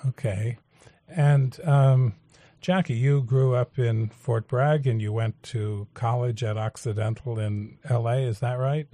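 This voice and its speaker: A male radio talk show host, interviewing guests in a measured, halting way with frequent fillers. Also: mid-range voice, fairly even intonation, mellow, no echo, studio quality. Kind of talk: public affairs interview.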